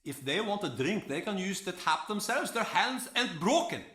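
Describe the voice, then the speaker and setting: An actor playing a stubborn, grumpy old man with a gruff folksy manner in a video game. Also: high-pitched, very animated, moderate pace, fairly bright tone. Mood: grumbling, mocking. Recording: roomy, echoing interior sound.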